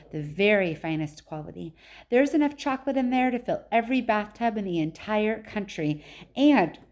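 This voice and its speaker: A woman's voice: medium pitch, darkish tone, natural voice. A woman reading a storybook voices an eccentric, boastful male factory owner, exclaiming emphatically and repeating phrases. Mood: excited, boastful.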